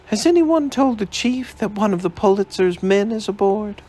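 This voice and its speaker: A man's voice: sits high, lively intonation, normal speed, darkish tone, voice pushed up. A man reading aloud, voicing a feisty woman journalist who snaps back indignantly.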